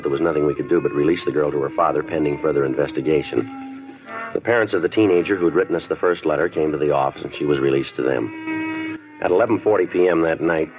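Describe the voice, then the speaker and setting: A terse, deep-voiced police detective. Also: male voice. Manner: flat, clipped, matter-of-fact. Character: terse male police detective, calm and dry. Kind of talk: 1950s radio crime drama narration. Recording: dull lo-fi 1950s radio recording with music.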